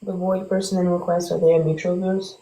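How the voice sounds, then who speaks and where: A woman's voice: on the low side, level tone, fast talker, mellow, rough recording. A woman in her twenties chatting casually on a livestream, playful and teasing with a mock-indignant edge. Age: 20s. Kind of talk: casual chat.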